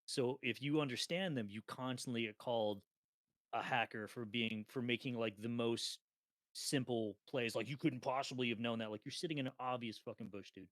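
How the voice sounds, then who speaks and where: A man's voice: medium pitch, normal speed, no echo. A man in his thirties co-hosting a casual gaming podcast, telling stories with lots of laughter and casual swearing. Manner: laughs often, animated storytelling, swears casually. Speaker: co-host.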